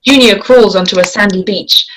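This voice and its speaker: A woman with a mid-pitched voice narrates a screenplay at a table read. She reads the stage directions, with faint noise and clicks behind her. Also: normal speed.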